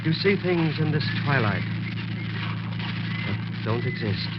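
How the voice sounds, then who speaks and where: An actor playing a firm, sceptical doctor in 1940s radio drama style, on a very noisy old recording. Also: male voice, on the high side, animated, slow pace, highs cut off. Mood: grave, dismissive.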